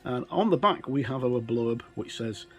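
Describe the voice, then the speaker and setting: A middle-aged man hosting a casual look-through for a gaming channel, talking in a halting way with pauses mid-sentence. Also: medium pitch, lively intonation. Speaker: host of a tabletop gaming channel. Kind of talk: casual presenter talk, book look-through. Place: indoors, tabletop overhead shot.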